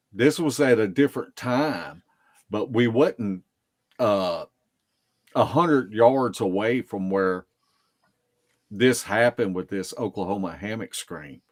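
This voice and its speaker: A man telling stories casually as a podcast guest, in a folksy, unhurried way that circles back on itself. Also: medium pitch. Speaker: podcast guest, field investigator. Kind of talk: casual storytelling.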